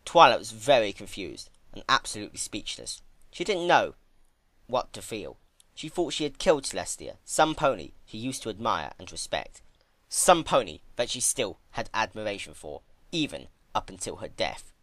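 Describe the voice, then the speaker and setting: Animated, bright-voiced dramatic story narrator. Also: medium pitch, moderate pace, no echo.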